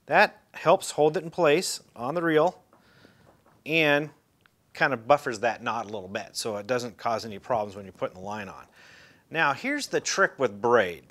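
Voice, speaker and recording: Relaxed folksy man demonstrating fishing gear. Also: medium pitch, normal speed. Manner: relaxed, folksy, with fillers and restarts. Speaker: fishing instructor. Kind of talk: casual how-to demonstration. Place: indoor room, seated in a chair.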